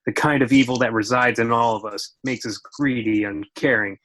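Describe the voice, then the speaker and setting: A man's voice: mid-range voice, steady tone, a little fast, fairly bright tone, lightly acted. A man reading the part of an earnest, righteous action hero at a script table read.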